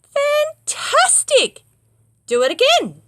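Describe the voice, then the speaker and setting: A woman voicing a plucky, clever young princess in a children's storybook, with emphatic, drawn-out delivery. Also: extremely high pitch, wildly swooping pitch, fairly bright tone, dry recording, clean studio sound, pitched above the performer's own voice, full character voice. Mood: flattering, excited.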